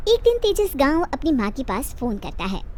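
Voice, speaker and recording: A woman's voice: sits high, sing-song, moderate pace, darkish tone, a little hiss or hum. A woman narrating a scripted family story as a storyteller.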